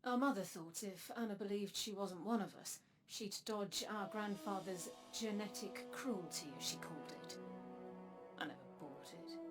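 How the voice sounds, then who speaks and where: A woman performs a weary, haunted woman from a dark future in a video game story. She speaks with solemn, deliberate gravity at a medium pitch. Music plays clearly behind her. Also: close to an everyday voice. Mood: somber, reflective. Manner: solemn, measured, dramatic delivery. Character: grave, weary woman from a dark future, grieving elder sister. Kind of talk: scripted video game cutscene narration. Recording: game audio with music underneath.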